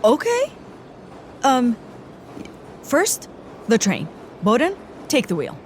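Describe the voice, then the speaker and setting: An actress playing a hotheaded agent who is brash, combative and quick to insult. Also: female voice, a little high, huge pitch swings, a little mellow, slight background noise, exaggerated voice. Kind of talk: comedy audio drama acting.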